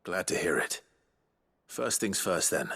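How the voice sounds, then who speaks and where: An actor playing an earnest, considerate fantasy game hero, measured and polite with a raspy voice. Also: male voice, sing-song, sharp tone, heavily acted. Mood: polite, resolute. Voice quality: low, husky, raspy. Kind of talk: video game voice acting.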